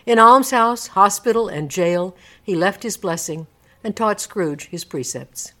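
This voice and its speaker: A woman narrating a classic story in a live dramatic reading, with measured, theatrical phrasing. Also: mid-range voice, animated, bright, forceful tone.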